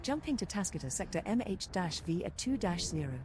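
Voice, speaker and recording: A woman's voice: fairly low pitch, animated, a little fast, noisy background, lightly acted. A woman voicing a precise, helpful spaceship computer copilot in a game, giving articulate status reports. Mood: precise, informative. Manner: articulate, informative. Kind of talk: scripted status announcements.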